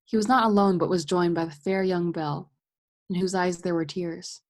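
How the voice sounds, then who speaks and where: A young woman playing the storyteller, giving measured scripted narration in a radio play. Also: on the low side, fairly even intonation, lightly acted. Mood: narrating. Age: young adult.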